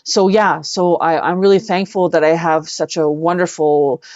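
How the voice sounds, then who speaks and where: A woman's voice: lowish voice, steady tone, moderate pace, darkish tone, dry recording. A woman tells personal stories as a guest in a casual interview. Her manner is effusive and earnest, and she often repeats words for emphasis. The call audio is dull and dry, with little room sound. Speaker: interview guest, singer-songwriter. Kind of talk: casual chat, personal storytelling. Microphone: video call.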